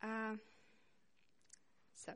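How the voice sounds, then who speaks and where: A young woman from Saint Petersburg, Russia, a student, speaking haltingly to a church congregation with many pauses and restarts. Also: fairly bright tone, a little hiss or hum. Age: young adult. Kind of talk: informal speech to a congregation. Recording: reverberant hall.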